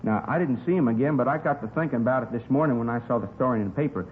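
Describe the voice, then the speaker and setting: A man's voice: medium pitch, rapid-fire, close to an everyday voice. A man with an American accent playing a folksy, talkative working-man witness in a 1950s radio drama, rambling earnestly in colloquial speech. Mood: eager, helpful. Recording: tinny, hissy 1950s radio recording.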